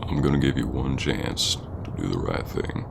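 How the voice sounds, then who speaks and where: A man playing a stern, gentlemanly Old West bounty hunter with a gravelly voice and folksy talk, in an ASMR roleplay. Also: expressive, very noisy, heavily acted. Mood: stern, threatening. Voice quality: very deep, gravelly. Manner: slow-burning, stern gentlemanly drawling talk with folksy grammar. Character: gruff, principled Old West bounty hunter, male, wounded ex-lover. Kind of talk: ASMR roleplay acting addressed to the listener.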